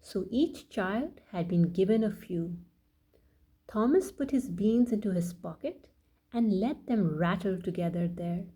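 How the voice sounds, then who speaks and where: A woman reading a bedtime story aloud for children as a storyteller, addressing them directly in a deliberate way. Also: mid-range voice, mellow, slightly rough. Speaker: children's storyteller and channel host.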